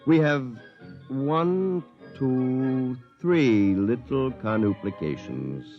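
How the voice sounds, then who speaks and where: An actor playing a smug, smooth-talking, cocky man with a scheming streak, in 1950s radio drama style. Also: mid-range voice, expressive, drawn-out words, muffled recording. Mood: pleased, narrating. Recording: 1950s radio broadcast with music.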